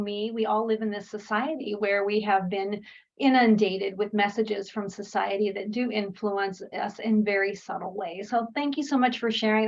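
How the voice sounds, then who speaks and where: A woman's voice: mid-range voice, creaky, highs cut off. A woman presenting a workshop over a video call, encouraging and thankful, with frequent "um"s. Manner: warm, encouraging, many "um"s. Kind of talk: online workshop facilitation.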